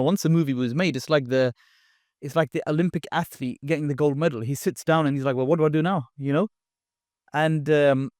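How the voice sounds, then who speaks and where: A man from the UK, a podcast guest, telling his story in a relaxed way, with fillers and restarts. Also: medium pitch, no echo, clean studio sound. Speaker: guest, content creator. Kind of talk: casual podcast interview.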